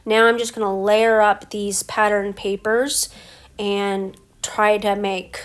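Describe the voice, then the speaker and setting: A woman's voice: medium pitch, slow pace, clear, resonant tone. Stuffy-nosed woman narrating her crafting. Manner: relaxed, explains each step as she works. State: stuffy head cold. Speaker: scrapbooking crafter. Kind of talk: casual craft voice-over.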